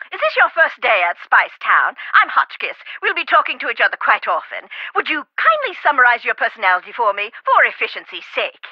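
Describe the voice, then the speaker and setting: A woman's voice: sits high, no echo, muffled recording. An actress playing a fussy, food-loving older woman who helps over the telephone, chatty and whimsical. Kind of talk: game voice acting.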